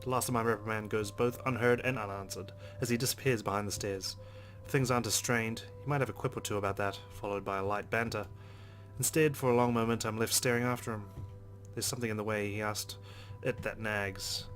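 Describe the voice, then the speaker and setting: A man's voice: lowish voice, steady tone, fairly quick, fairly bright tone, faint music behind. A young man hosting a let's play, reading game narration aloud with casual reactions.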